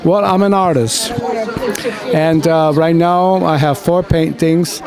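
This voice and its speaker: A man's voice: on the high side, fairly even intonation, slow, a little mellow. An older man from Cuba, a performer, talking playfully at a crowded indoor event while getting over a cold. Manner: playful, sings a cappella when asked. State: recovering from a cold. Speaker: guest performer. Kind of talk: casual event interview. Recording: crowd chatter behind.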